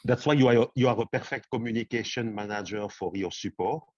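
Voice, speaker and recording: A man's voice: on the low side, brisk, no room sound, highs cut off. A man with a French accent, sick with a cough, chatting playfully in broken English on a video call. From France. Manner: playful, chanting and sing-song, broken English. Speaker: community member. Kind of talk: casual video call chat.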